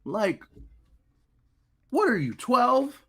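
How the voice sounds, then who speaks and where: Lively, teasing man. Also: extremely high pitch, very slow, husky. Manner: animated, laughing, teasing. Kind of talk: casual live-stream chat.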